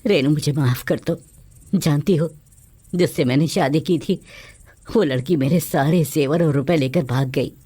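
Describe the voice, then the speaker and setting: A remorseful man in a cartoon family tale acted by a woman, with a low voice. He pleads contritely, begging to be forgiven. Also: a little slow, dark tone, no echo. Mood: remorseful, pleading. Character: remorseful husband who deserted his family, begging forgiveness.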